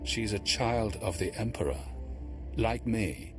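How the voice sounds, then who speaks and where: Sith villain: game role, very low, unhurried, mocking and courtly, devoted to his emperor. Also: lively intonation, music in the background. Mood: smug menace. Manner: measured, formal, taunting. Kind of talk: dramatic video game acting.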